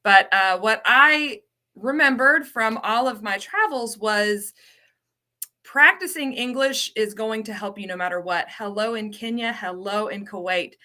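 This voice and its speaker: Bubbly bright-voiced American woman host. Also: a little high, measured pace. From United States. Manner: bubbly, repeats words for emphasis, greets viewers. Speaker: ESL program coordinator hosting a livestream. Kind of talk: casual livestream lesson.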